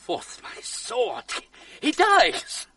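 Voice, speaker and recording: An actor playing a nervous, foolish young male gentleman in a radio drama, talking himself into a deed. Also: very high voice, huge pitch swings, extremely slow, husky, no echo, far from the mic, heavily acted. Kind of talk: 1980s radio drama acting.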